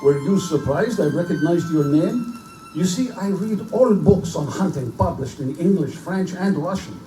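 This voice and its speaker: A man acts out a proud Russian nobleman and general who lives for the hunt. His delivery is courtly, boastful and theatrical, in a somewhat high male voice. It is a live pulp drama heard in a reverberant room with clear music underneath. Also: lively intonation, normal speed, dark tone, recorded from a distance, close to an everyday voice. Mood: suave, gracious. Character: suave, aristocratic Russian general, cold obsessive big-game hunter. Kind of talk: live dramatized pulp-fiction stage reading. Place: bookstore live performance before microphone.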